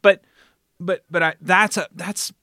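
Male interviewer, slightly high voice, close dry sound, conversational and animated, working thoughts out as he talks. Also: measured pace, no room sound. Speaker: podcast interviewer and show founder.

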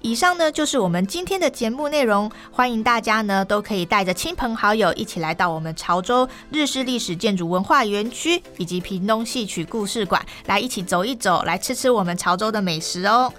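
A woman's voice: mid-range voice, expressive, brisk, few pauses, fairly bright tone. A woman with a Taiwanese Mandarin accent hosting a local culture and travel radio program.

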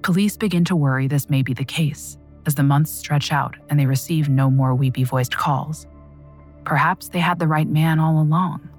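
A woman narrating a true crime story in a measured, dramatic scripted style. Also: sits low, faint music behind.

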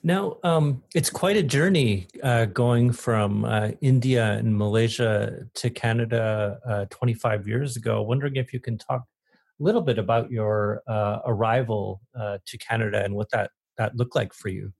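Male podcast host, gravelly, husky, halting questions. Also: on the low side, a little mellow. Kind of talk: interview questions.

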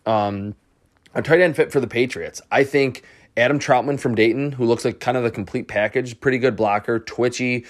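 A man hosting a sports podcast, giving off-the-cuff solo football analysis in clipped phrases with thinking pauses. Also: medium pitch. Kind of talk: off-the-cuff solo football commentary, answering questions.

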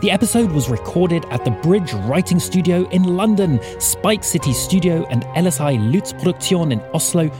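A man's voice: fairly high pitch, lively intonation, normal speed, few pauses, over music. A man reading scripted announcements and credits as a podcast announcer. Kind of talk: scripted narration.

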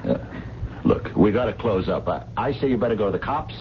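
An American actor playing a gruff, streetwise diner owner with a soft heart, in blunt slangy talk, in a 1970s radio drama. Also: male voice, lowish voice, animated, a little fast, natural voice. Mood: wary. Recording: dull, noisy vintage radio broadcast recording.

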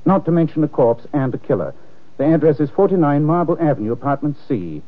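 An actor playing a suave, unflappable amateur detective in 1940s radio drama style, with a dry, ironic, nonchalant delivery and polite hesitations. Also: male voice, a little high, animated, fast talker, lightly acted. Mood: amused, assured. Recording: dull, lo-fi old radio recording.

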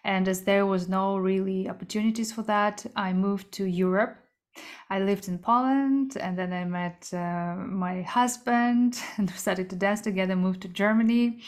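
Woman from kazakhstan on a podcast, halting, lots of little laughs, plain middle pitch. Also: fairly even intonation. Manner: halting, searching for words, frequent light laughter. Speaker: guest, entrepreneur. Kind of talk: casual interview answers.

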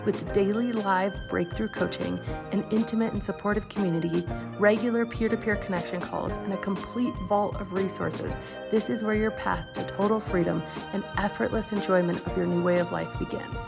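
A woman host reads a scripted podcast intro and sponsor message. Her voice has a creaky, gravelly edge, and clear music plays underneath. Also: mid-range voice, fairly even intonation, normal speed. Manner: smooth, scripted delivery.

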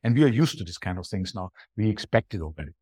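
A man's voice: on the low side, lively intonation, fast talker, warm tone, breathy edge, no room sound, studio quality. A middle-aged or older man with an Austrian accent and German as his native language, giving emphatic, conversational answers as an interview guest. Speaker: podcast guest, booking strategist.

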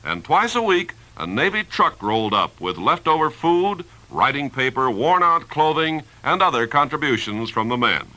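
A man's voice: a little high, sing-song, moderate pace, few pauses, sharp tone, rough recording. A man reading scripted 1950s radio narration as an announcer, polished, emphatic and formal.

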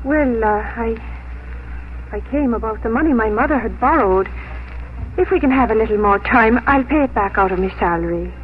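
High-voiced, shy young country schoolmistress played by an actress. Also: female voice, moderate pace, natural voice. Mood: shy, flustered. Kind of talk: 1950s radio drama acting. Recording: muffled, noisy 1950s radio broadcast.

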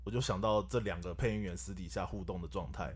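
Taiwanese guy, voice director, low voice, talks fast, bouncy. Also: slightly rough. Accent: Taiwanese Mandarin. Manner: animated, laughs while telling stories. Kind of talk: casual podcast chat.

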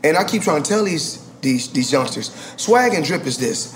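A man's voice: fairly high pitch, very animated, normal speed, a little hiss or hum. Boastful forty-something man, rambling. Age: 40s. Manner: boastful, rambling with many restarts. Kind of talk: casual podcast chat.

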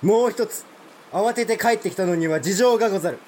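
A man's voice: high-pitched, fairly quick, a little mellow, slight background noise, lightly acted. A man playing a proud, blunt provincial samurai lord in a Japanese historical audio drama, speaking formal warrior speech. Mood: indignant.

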